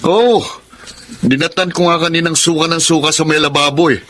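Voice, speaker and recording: On a noisy radio drama track, an actor plays an earnest, protective working-class young man. His voice sits slightly high. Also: fairly even intonation, brisk, fairly bright tone, lightly acted. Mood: frustrated. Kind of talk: Tagalog radio drama acting.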